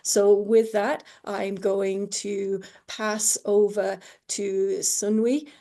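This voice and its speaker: A woman moderating a panel on a video call, sharing a personal reflection in a measured way. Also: medium pitch, flat delivery, gravelly, warm tone. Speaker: moderator, host.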